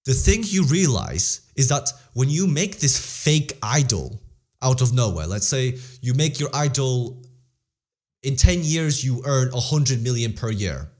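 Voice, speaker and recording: A teenage boy speaks as an interview guest, earnest and driven as he gives motivational advice. His pitch sits in the middle for a young man. He restarts sentences and repeats phrases as he pushes his point. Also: lively intonation, a little slow, darkish tone. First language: Polish-English bilingual, English stronger. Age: teens. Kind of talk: casual motivational talk.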